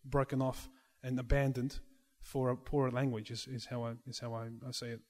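A man's voice: medium pitch, flat delivery, fairly quick, darkish tone. A man who chants in church, speaking in a question-and-answer discussion, earnest and conciliatory, often restarting his sentences. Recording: long reverb of a hall.

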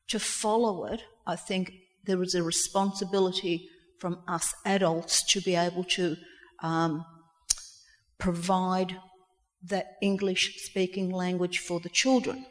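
A woman of sixty, speaking from the audience in a plain, halting, personal way. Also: lowish voice. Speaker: audience member at a community talk. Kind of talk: personal comment from the floor. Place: reverberant indoor hall. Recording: echoey hall with long reverb.

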